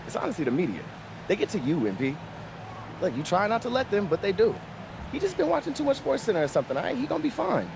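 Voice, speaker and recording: A man's voice: sits high, wildly swooping pitch, fast talker, creaky, noisy background, full character voice. A man playing a reassuring friend of a young athlete in a video game, chatting informally and soothingly. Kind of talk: casual private chat.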